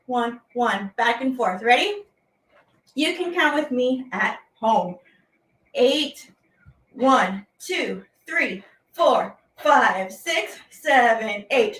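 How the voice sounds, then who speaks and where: A woman's voice: sits high, fairly bright tone, rough recording. A woman hosting a children's lesson as a teaching artist, speaking playfully and animatedly to viewers at home in simple, repeated phrases.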